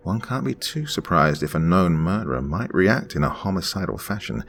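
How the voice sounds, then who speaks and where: A man in his forties from Pennsylvania, reading a reflective first-person story in a measured way. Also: sits low, lively intonation, vocal fry, faint music behind. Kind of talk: scripted first-person narration.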